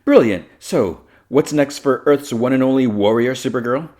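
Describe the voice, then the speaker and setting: A man's voice: mid-range voice, moderate pace, darkish tone, pitched above the performer's own voice, close to an everyday voice. A man voicing an imperious female celebrity interviewer with a grand, commanding, theatrical delivery.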